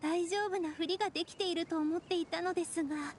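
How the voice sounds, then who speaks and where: A woman playing a composed, gentle young lady strategist in an anime game, speaking softly and very politely. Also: extremely high pitch, fairly even intonation, fast talker, slight background noise. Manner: soft-spoken, formal and polite. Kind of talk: Japanese anime game voice acting.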